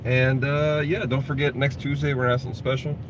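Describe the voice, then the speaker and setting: A man's voice: mid-range voice, flat delivery, fast talker. A man co-hosting a geek vlog in casual, enthusiastic chat while riding in a car. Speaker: vlog co-host. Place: inside a moving car. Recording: clear car and road noise.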